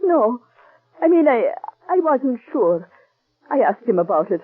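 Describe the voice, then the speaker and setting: An actress playing a worried working-class wife who speaks anxiously and haltingly, in 1940s radio acting on an old broadcast. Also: female voice, on the high side, very animated, slightly rough, dry recording, phone-quality sound, heavily acted. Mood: pleading. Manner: anxious, halting, plain-spoken.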